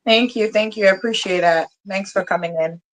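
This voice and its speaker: A woman from Liberia hosting a casual discussion, often halting and repeating words as she works out her point. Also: medium pitch, low-quality recording. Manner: halting, repeats words while searching for phrasing. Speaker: host of an online discussion. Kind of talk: casual debate moderation.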